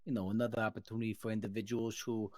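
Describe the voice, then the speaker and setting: A man's voice: fairly low pitch, flat delivery, very fast, a little mellow, a little hiss or hum. A man improvising formal, long-winded business talk in a mobster roleplay. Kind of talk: improvised in-character business speech.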